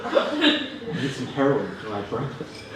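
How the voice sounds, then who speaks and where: A man does deadpan, self-deprecating stand-up with a lively, swinging pitch. He speaks hesitantly, as if reading his own mock prose to a room. The live recording is very echoey and distant, with room noise around him. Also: measured pace. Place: student union comedy stand-up event, indoors. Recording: roomy, noisy live room recording from afar. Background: audience laughter, room noise.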